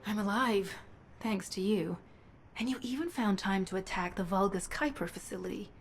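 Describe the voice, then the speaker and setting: A self-assured scout from a game, acted by a woman, speaking plainly and with composure. The voice sits at a middle pitch over some ambient noise. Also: normal speed, lightly acted. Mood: grateful, relaxed. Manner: matter-of-fact, assured. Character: confident, composed female recon operative briefing a soldier. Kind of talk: video game voice acting.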